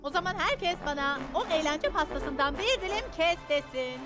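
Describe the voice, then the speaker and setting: A woman's voice: high-pitched, animated, moderate pace, loud music behind the voice. A woman voicing a chatty, oblivious oddball newspaper photographer in a Turkish cartoon dub. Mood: breezy.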